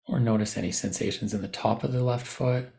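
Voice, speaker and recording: Gravelly-voiced man guiding meditation. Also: on the low side, brisk, dark tone. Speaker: meditation guide. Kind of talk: guided body scan meditation, unscripted spoken instruction.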